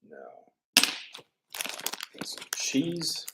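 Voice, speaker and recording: A man chatting casually as a livestream host, halting and offhand, often repeating his phrases. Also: very noisy. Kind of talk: casual rambling livestream chat.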